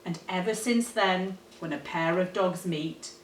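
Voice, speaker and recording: Female storyteller for rhyming children's tale, expressive and deliberate, recorded at a distance in a roomy space. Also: on the low side, slight background noise. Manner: animated, dramatic, deliberate storytelling. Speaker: teacher reading a storybook. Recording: roomy, echoey, distant microphone.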